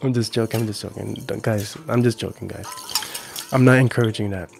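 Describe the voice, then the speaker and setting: A middle-aged man casually chatting with viewers on an ASMR live stream, drifting between soft murmured talk and whispers close to the mic. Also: lowish voice, fairly even intonation, a little fast, creaky, background noise. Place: small indoor gaming room. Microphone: handheld-style recorder mic close to the mouth.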